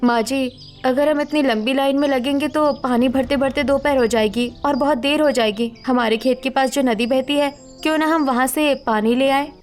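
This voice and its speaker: A woman's voice: sits high, fairly even intonation, normal speed, faint music behind, natural voice. A woman voicing a practical village daughter-in-law in a cartoon story. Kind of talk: cartoon moral story acting.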